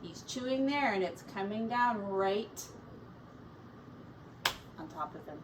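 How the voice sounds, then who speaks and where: A woman teacher reading a children's picture book aloud expressively, pausing to explain words and comment on the pictures. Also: mid-range voice, animated. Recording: distant mic with faint background noise.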